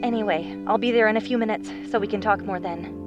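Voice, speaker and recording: A nervous young woman, as a male narrator puts her on high and bright, tumbling over her own apologies. She talks in quick, pushy bursts and barely lets the other person answer. An audiobook character on a phone call. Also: music in the background, close to an everyday voice. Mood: rushed. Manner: rushed, apologetic, rambling.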